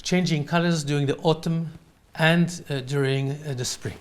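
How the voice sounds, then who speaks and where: Male interviewee, French accent, somewhat high register, halting non-native phrasing, unscripted. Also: fairly even intonation. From France. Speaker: interview guest, real estate company owner. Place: in his apartment.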